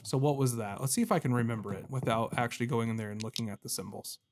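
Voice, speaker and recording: A man's voice: mid-range voice, fast, darkish tone, a little hiss or hum. A man giving casual let's-play commentary as a gaming host, talking to himself and poking fun at his own fumbles. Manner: self-deprecating, talks to himself while puzzling.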